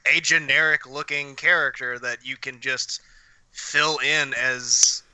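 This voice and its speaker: A man's voice: medium pitch, fairly even intonation, slow pace, pushed and bright, no room sound, rough recording. A man co-hosting a podcast in casual chat while sick with a cough. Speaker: podcast co-host.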